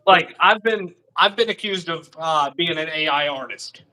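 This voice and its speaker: Young guy, guest on a live stream, high bright voice, talks quick and earnest. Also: low-quality recording. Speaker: guest musician. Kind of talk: casual livestream chat.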